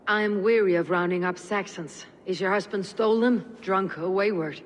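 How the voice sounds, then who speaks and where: A woman's voice: mid-range voice, steady tone, normal speed, natural voice. An actress playing a blunt, weary Viking warrior woman in a video game, speaking in a dry, terse, commanding way. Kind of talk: video game dramatic acting. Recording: hall reverb, faint ambient noise.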